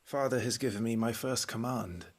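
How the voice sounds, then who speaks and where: An actor playing an earnest, dutiful teenage knight in a video game, with a husky, breathy voice. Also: male voice, mid-range voice, moderate pace, natural voice. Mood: earnest, subdued. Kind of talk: video game cinematic acting.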